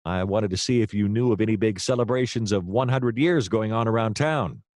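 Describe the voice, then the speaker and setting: An actor playing a hapless hard-boiled private detective, narrating his case in a wry, deadpan noir radio-play style. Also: lowish voice, brisk, dry recording, studio quality, natural voice. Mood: eager, pushy.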